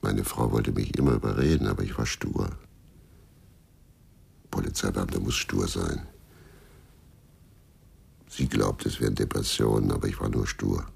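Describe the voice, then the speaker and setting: An actor playing a stubborn, embittered, hard-drinking former policeman in a radio crime drama, blunt and confrontational, with a husky, gravelly voice. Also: extremely low pitch, steady tone, moderate pace, long pauses, a little mellow, exaggerated voice. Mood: bitter, brooding.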